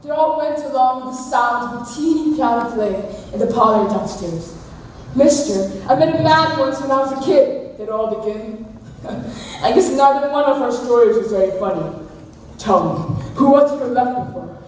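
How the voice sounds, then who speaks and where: In a reverberant hall with faint noise, a young woman plays a bitter jilted man in a stage drama. The voice is high for all his drunken sarcasm and wounded pride. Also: animated, normal speed, recorded from a distance, natural voice. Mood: bitter, accusing. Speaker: student actor in a school play. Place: large hall at a school stage event.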